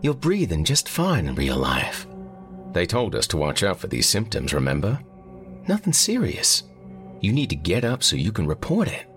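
Brainy, sardonic male colleague for audiobook fiction, done by a man: deep, wry, emphatic. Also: big pitch swings, moderate pace, a bit bright, faint music behind, heavily acted. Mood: calm reassurance.

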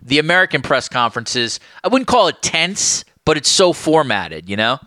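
A man's voice: a little high, very animated, normal speed, pushed and bright, no room sound. A man hosting a podcast interview, asking loose questions and thinking aloud with plenty of hedges. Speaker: podcast host. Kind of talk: casual interview chat.

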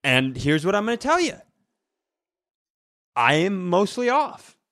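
Male podcast co-host, lively swooping delivery, rambling and profane, close dry sound. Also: high voice, measured pace, no echo. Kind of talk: casual comedic podcast chat.

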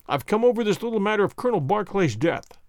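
A man reading an audiobook, voicing a calm, commanding detective in a measured, lowered voice. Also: no echo. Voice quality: measured, low and cool.

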